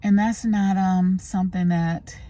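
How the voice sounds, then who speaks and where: A woman's voice: mid-range voice, fairly even intonation, unhurried, mellow. A woman vlogger chatting casually to the camera in a rambling, scattered way, recorded on a handheld phone.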